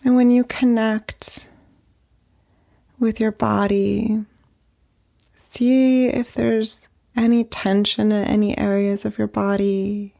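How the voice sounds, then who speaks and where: A woman leading a guided meditation in a soft, gentle, unhurried way, speaking in short phrases with long pauses. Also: medium pitch, gravelly. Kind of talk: guided meditation, slow and soothing narration.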